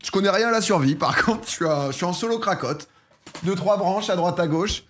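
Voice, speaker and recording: Male streamer, slightly high voice, jokey reaction. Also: brisk, fairly bright tone, a little hiss or hum. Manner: joking, loose slang. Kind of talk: casual reaction commentary.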